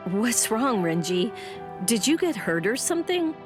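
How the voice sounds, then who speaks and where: A woman's voice: a little high, very animated, measured pace. An actress playing a plucky, direct young female warrior in an anime game dub. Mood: puzzled, questioning. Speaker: video game voice actor. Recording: music behind the voice.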